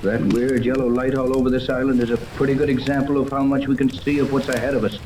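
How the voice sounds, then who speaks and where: A man's voice: medium pitch, level tone, quick pace, close to an everyday voice. A man acting a reassuring, easygoing yacht captain in a 1930s radio serial, with a folksy manner. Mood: wry, steady. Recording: distant, noisy 1930s radio recording.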